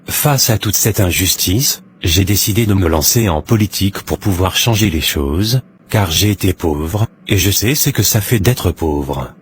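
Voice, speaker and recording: An actor playing an earnest, self-righteous businessman running for parliament in an animated drama, speaking formally in speeches. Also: low voice, a little slow, slightly rough, full character voice. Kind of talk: animated drama dialogue, political interview answers.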